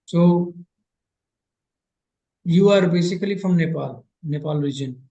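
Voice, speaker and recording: A man teaching a Sanskrit class over a video call, his voice a little high but mellow and soft-edged. He repeats phrases patiently and slowly. The sound is roomy and muffled. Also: creaky. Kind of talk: online grammar class.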